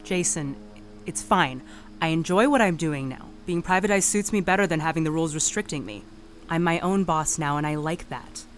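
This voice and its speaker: A woman's voice: low-pitched, moderate pace, a little hiss or hum, close to an everyday voice. A woman playing a polite, independent-minded private detective in an audio drama, speaking conversationally. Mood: firm, calm. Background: music.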